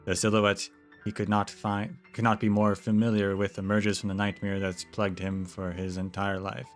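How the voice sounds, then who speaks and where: Low-voiced man reading a game story. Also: normal speed, faint music behind. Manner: relaxed, with chatty asides and small restarts. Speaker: streamer narrating a game. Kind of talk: casual story read-aloud to a stream.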